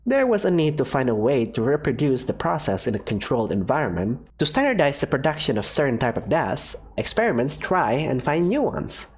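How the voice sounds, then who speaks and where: A cheerful woman professor with a secretive streak, as a voice actor plays her. Her lively voice swoops up and down in a breezy, teasing delivery. She sounds like a character from a fan-made visual novel. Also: mid-range voice, a little fast, few pauses, slightly rough. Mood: explaining.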